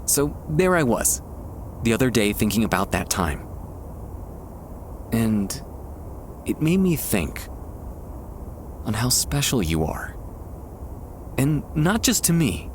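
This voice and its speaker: A man playing a shy, tender young man confessing love to a childhood friend, hesitant and soft-hearted, in an intimate ASMR roleplay. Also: medium pitch, a little hiss or hum. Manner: soft-hearted, hesitant, halting with nervous chuckles. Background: quiet park ambience.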